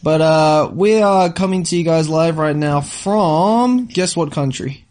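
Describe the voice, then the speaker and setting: A man's voice: on the high side, unhurried, a little mellow. A man co-hosting a podcast, chatting casually in an informal, rambling, slangy way. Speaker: podcast co-host. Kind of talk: casual chat about travel.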